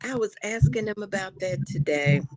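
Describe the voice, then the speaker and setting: A woman's voice: mid-range voice, animated, normal speed, a crowd in the background. A sleepy woman, giving easygoing, amused replies in casual chat. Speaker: church praise team member.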